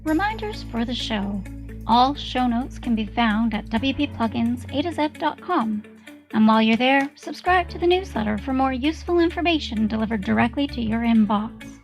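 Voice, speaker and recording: A woman reads a scripted show outro as an announcer. Her voice is a little high and noticeably creaky, with light music behind it. The delivery is instructional. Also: lively intonation, normal speed. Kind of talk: scripted promotional outro narration.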